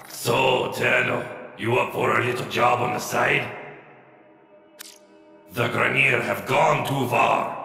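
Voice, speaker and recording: A man voicing a roguish, informal dealer in a video game, chatty like a salesman pitching side jobs. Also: gravelly, pushed and bright, full character voice. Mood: sheepish, friendly. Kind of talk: video game character acting. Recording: echoey hall reverb, faint music.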